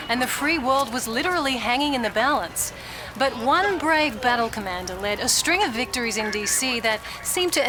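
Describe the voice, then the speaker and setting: An actress playing a polished TV news anchor in a video game, delivering crisp, emphatic, dramatic scripted news. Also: female voice, fairly high pitch, lightly acted.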